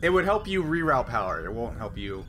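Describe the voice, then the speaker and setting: A man's voice: medium pitch, sing-song, a little fast, a bit bright, soft background music. A man running a tabletop game as game master, casually explaining rules in a hesitant, step-by-step way. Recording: noisy background ambience.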